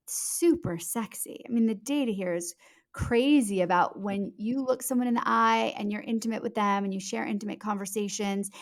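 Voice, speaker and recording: A woman speaking as a guest author, explaining in a conversational interview style, fluent and emphatic, addressing the listener directly. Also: medium pitch.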